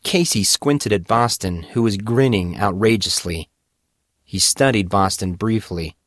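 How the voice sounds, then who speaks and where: A man's voice: fairly low pitch, unhurried, fairly bright tone, no echo, studio quality. A man reading scripted fiction as a dramatic audiobook narrator.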